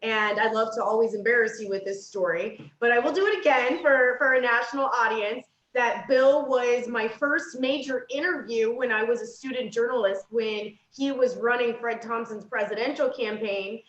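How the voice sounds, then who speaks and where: A woman's voice: fairly high pitch, normal speed, hardly any pauses, a bit bright, roomy sound, lo-fi sound. A young woman from Kansas, a journalist speaking on a panel, friendly and articulate with a light teasing touch. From Kansas, United States. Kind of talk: panel discussion answers.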